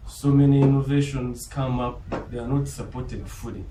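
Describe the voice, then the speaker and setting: A man with a Ugandan accent giving a formal, earnest, deliberate self-introduction as a business school applicant. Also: medium pitch, flat delivery, warm tone. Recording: roomy, distant mic, faint background noise.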